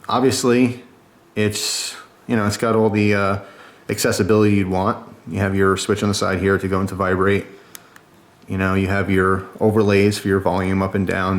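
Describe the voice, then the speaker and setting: A man's voice: on the low side, vocal fry. A man giving a casual product review in a chatty, informal and enthusiastic way.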